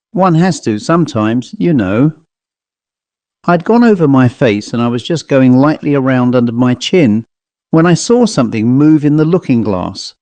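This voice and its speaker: A man's voice: medium pitch, darkish tone, dry recording, close to an everyday voice. A man voicing a plain-spoken travelling salesman telling a grim storybook tale in a dry, colloquial, conversational manner. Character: haunted male commercial traveller, plain-spoken teller of grim tales. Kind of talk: dramatised storybook monologue.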